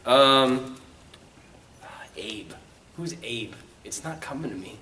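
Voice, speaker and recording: A man co-hosting a casual video game chat, excitable and quick to jump in, with a giggly way of talking. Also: medium pitch, slight background noise. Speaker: co-host of a video game show. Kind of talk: casual group chat pitching ideas. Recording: roomy echo, distant mic.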